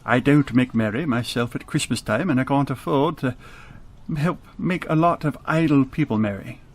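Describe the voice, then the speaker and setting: A man acts out a miserly old Victorian businessman in a radio drama. The voice sits at a middle pitch, and the delivery is curt, scolding and full of grumpy scorn. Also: normal speed, close to an everyday voice. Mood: cold, dismissive.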